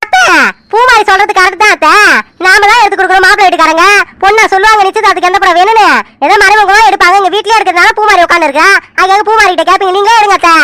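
A woman playing a coaxing young daughter-in-law, very high, fast, flattering. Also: no room sound. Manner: persuasive, flattering.

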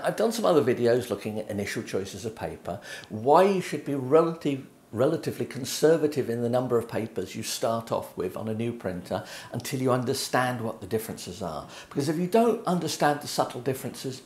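A middle-aged man with an English accent talks through printing ideas in a loose, unscripted way. His pitch moves around a lot as he explains and points at things. The room around him is noticeably echoey, like a small home office heard through a camera mic. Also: big pitch swings, a little fast, a little mellow. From England. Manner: conversational, gestures at prints while explaining. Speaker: photography educator. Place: home office with bookshelves, indoors.

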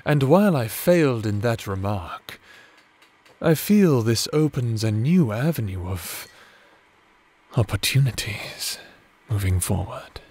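Man playing a slow-spoken, doting necromancer husband. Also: medium pitch, expressive, darkish tone, breathy edge. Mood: confiding, scheming. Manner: theatrical, formal, tender. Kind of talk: ASMR romantic roleplay audio drama.

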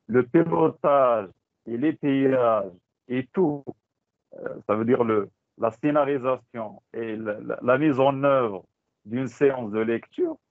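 A Moroccan man whose first language is Tachelhit, giving a halting teaching talk on a video call, repeating words and slipping into Moroccan Arabic. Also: mid-range voice, no room sound. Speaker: teacher trainer. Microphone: computer mic on a video call. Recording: dry, phone-like call audio.

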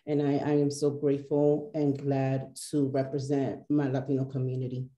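A woman with a low, very dark and mellow voice speaks earnestly on a webcam panel. She talks haltingly, pausing often. She is in a small office with a roomy echo. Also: completely flat delivery. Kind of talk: panel discussion on a video call. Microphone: video call webcam.

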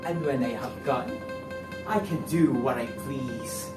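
A man's voice: medium pitch, slow pace, close to an everyday voice. A young man playing a smug, scornful blackmailer in a stage play, mocking and dramatic. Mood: sly, defiant. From Philippines. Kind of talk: theatrical stage acting of a classic play. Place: indoor living-room stage set. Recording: roomy, distant microphone, music behind.

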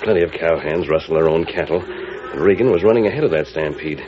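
A man playing a principled, measured, authoritative western hero in 1940s radio style. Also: a bass voice, noisy background. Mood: resolute. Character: commanding, principled masked cowboy hero. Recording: muffled old radio broadcast, music behind.

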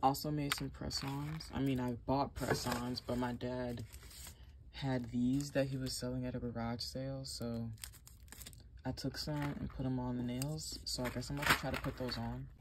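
A woman's voice: very deep voice, little pitch movement, moderate pace, background noise. Rambling young woman vlogging from bed. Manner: rambling, loose, filler-heavy talk. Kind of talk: casual vlog chat. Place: small bedroom indoors.

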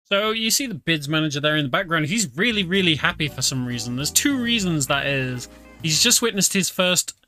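A man's voice: fairly high pitch, lively intonation, fairly quick, sharp tone. A British man giving casual, chatty commentary while playing a game, explaining as he goes with many fillers. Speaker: game streamer. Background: game music.

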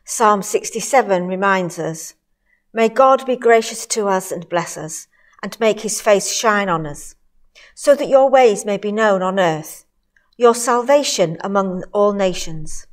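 A middle-aged woman leading worship, reading scripture in a measured, deliberate way. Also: medium pitch, expressive. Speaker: Salvation Army officer leading worship. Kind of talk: scripture reading in a church service. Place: church hall, indoors.

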